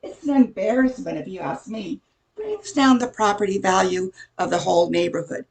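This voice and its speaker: An older woman playing a middle-aged busybody neighbor in an amateur radio play, chatty, insinuating and judgmental, with a husky, creaky voice. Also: medium pitch, lively intonation, moderate pace, darkish tone. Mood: disapproving.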